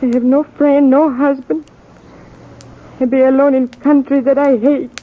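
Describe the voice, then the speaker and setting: Tinny old radio, high-voiced immigrant woman. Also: flat delivery. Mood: bitter, despairing. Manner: halting, broken English. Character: distraught, stubborn immigrant woman loyal to her man. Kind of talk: 1950s radio western acting.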